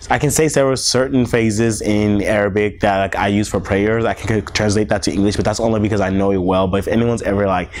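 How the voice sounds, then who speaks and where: Quick young Nigerian man, lowish voice. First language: Yoruba. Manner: halting, many "like" fillers. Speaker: interviewee. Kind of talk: casual interview answers.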